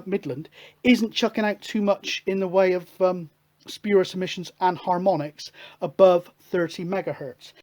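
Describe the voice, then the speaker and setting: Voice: mid-range voice, darkish tone. A hobbyist radio show host giving an informal hands-on technical demonstration, chatting casually and thinking aloud.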